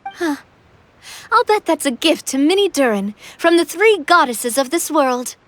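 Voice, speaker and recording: High-voiced thoughtful young adventurer. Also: female voice, sing-song, unhurried, fairly bright tone, dry recording, heavily acted. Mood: upbeat. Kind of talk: anime video game acting.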